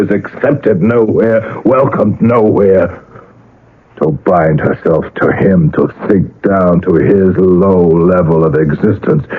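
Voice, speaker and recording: Low, measured male voice for a radio-play role: obsessive middle-aged father, emphatic, brooding, theatrical. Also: narrow, tinny audio. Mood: anguished, vehement. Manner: emphatic, dramatic, repeats phrases for weight. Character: possessive, agitated middle-aged father, a successful artist. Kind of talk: 1970s radio drama acting and first-person narration. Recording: noisy, band-limited old radio recording.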